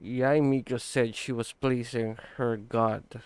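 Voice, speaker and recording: Slow-talking man reacting to a game. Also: mid-range voice, fairly even intonation, a little mellow, clean tone. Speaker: gaming YouTuber. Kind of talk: casual game reaction commentary. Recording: game music behind the voice. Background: game music.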